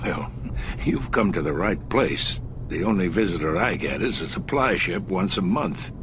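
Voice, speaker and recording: An actor playing a kindly, reclusive older scientist in a sci-fi game, musing and avuncular. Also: male voice, deep voice, expressive, normal speed, background noise. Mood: welcoming. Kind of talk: acted dialogue in a sci-fi game cutscene.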